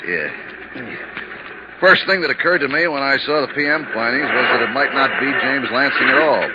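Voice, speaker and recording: A man's voice: mid-range voice, animated, moderate pace, close to an everyday voice. An actor playing an easygoing, chummy insurance man in 1950s radio drama style, folksy and colloquial. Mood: wry, chatty. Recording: muffled, noisy 1950s radio recording.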